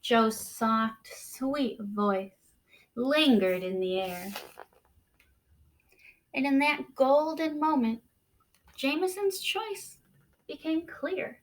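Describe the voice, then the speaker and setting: A woman with a fairly high voice speaks very slowly, as a teacher does with young children. She is expressive, pauses for drama and puts questions to her listeners. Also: a little hiss or hum, low-quality recording. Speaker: teacher reading a picture book aloud. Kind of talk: expressive read-aloud for children.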